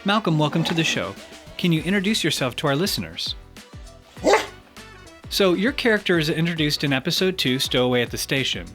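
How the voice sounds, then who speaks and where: Animated man hosting a playful podcast interview. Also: fairly high pitch, a little fast, long pauses, over music. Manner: tongue-in-cheek mock-serious. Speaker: podcast host.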